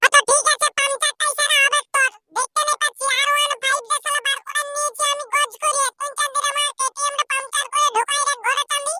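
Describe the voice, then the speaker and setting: Very high squeaky pitched-up voice, rowdy young village lad, Purulia accent, slangy and grumbling, loud music behind. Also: monotone, quick pace, hardly any pauses. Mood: pushy, teasing. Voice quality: cartoonishly squeaky, pitch-shifted voice. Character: rowdy young village lads squabbling and teasing, cartoon. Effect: pitched-up voice filter. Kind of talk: comedy cartoon dialogue.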